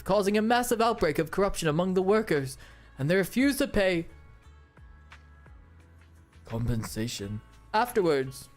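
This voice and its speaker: A performer voicing an earnest, grateful activist in scripted game dialogue. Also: female voice, medium pitch, close to an everyday voice.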